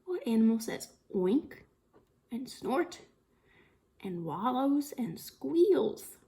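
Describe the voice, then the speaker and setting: A woman reading a picture book aloud to young children as a storytime teacher, in an expressive sing-song manner with lots of questions. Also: fairly high pitch. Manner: sing-song, slow and deliberate, with exaggerated expression and frequent questions.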